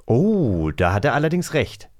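A man's voice: medium pitch, sing-song, moderate pace, darkish tone, natural voice. A man playing an inquisitive passing cyclist who meddles politely in a quarrel in an improvised children's audio drama.

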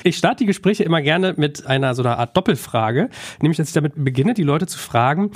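A man's voice: a little high, animated, fast, breathy edge. A man hosting a podcast interview in a chatty, informal way, dropping in English phrases. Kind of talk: conversational interview.

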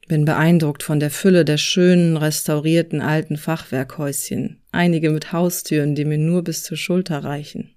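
A woman's voice: low-pitched, a little mellow. Woman narrating a scripted travel story. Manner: literary, descriptive storytelling. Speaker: narrator and host of a walking podcast.

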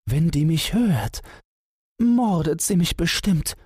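A man's voice: fairly high pitch, sing-song, takes it slowly, a little mellow, slightly rough, no echo, studio quality, pitched above the performer's own voice, full character voice. A man voicing a timid little female mouse who pleads and begs in a storybook reading. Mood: pleading. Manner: pleading, plaintive.

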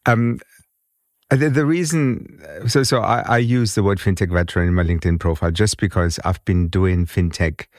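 A man in his fifties speaks with a very low voice and an accent caught between British and German. He tells his story in a measured way, often restarting and repeating words. The sound is dry and close, with no room around it. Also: fairly even intonation, no room sound. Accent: mixed British and German. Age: 50s. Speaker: guest. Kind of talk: conversational interview.

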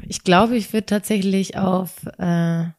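A woman's voice: mid-range voice, fairly even intonation, takes it slowly, a little mellow. A woman co-hosting a casual podcast conversation, hesitant and searching for her words as she answers. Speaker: podcast co-host. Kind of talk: casual conversation about relationships.

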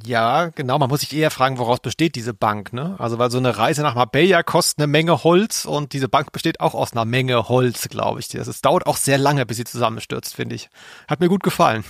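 Guy chatting on a podcast, creaky voice, jokey, very dry close recording. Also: medium pitch, brisk, dry recording. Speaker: podcast host. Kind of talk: casual humorous chat about audio plays.

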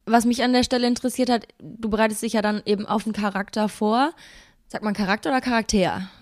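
A woman's voice: on the high side, fairly even intonation, quick pace, no room sound, studio quality. A woman co-hosting a casual podcast, asking questions in a playful, self-mocking way. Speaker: podcast co-host.

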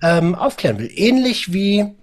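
A man hosting a podcast, talking in an upbeat, animated casual way while he has a cold. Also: high-pitched, a little slow. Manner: upbeat, animated, says "geil" and "Alter". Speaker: podcast host.

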